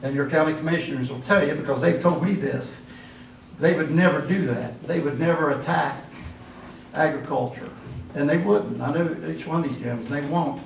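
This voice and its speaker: Gravelly older man. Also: a little high, moderate pace, a little hiss or hum. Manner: folksy, rambling, with frequent fillers. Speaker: political candidate. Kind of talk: campaign speech at a dinner. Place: church hall at a dinner event. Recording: echoey hall from far off.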